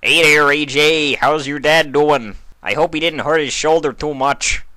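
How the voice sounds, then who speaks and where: A man voicing a big, hearty male unicorn, a former athlete and uncle, loud and forthright, in a cartoon fan story. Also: fairly high pitch, unhurried, no echo. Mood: jovial.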